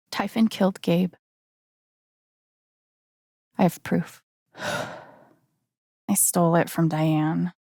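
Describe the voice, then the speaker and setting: An earnest, determined young woman in a dramatic video game. She speaks slowly and hesitantly, with plenty of creak in a heartfelt, emotionally exposed delivery. The sound is close and dry. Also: fairly low pitch, a little mellow, dry recording, heavily acted.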